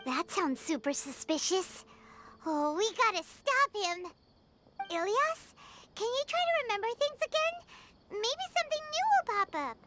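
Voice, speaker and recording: A woman voicing a small, chirpy, nosy fairy companion in a video game, with a squeaky childlike voice. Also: big pitch swings, a little slow, full character voice. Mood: eager. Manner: refers to self in third person, exclaiming. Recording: loud music under the voice. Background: game music.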